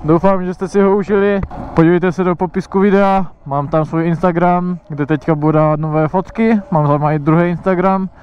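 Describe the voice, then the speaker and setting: A young Czech man talks to his helmet camera while riding, his voice a little high and smooth. He laughs often and swears casually. The sound is dull and lo-fi, recorded outdoors on the move. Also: steady tone, few pauses. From Czech Republic. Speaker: motovlogger. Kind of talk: casual helmet-cam motovlog chat. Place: outdoors riding an electric motorbike.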